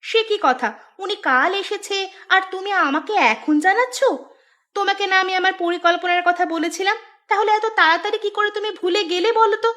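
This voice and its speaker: Woman as scheming village wife, cartoon, high-pitched, bright and piercing, scolding, emphatic, exasperated. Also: fairly quick. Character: sharp-tongued scheming village wife, scolding her husband. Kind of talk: Bengali cartoon voice acting.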